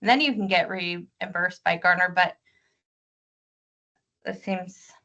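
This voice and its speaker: A woman's voice: lowish voice, takes it slowly, pushed and bright. A woman on a board, speaking informally and thinking aloud in a meeting, heard through dull lo-fi audio. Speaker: board member. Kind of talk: conversational questioning in a meeting.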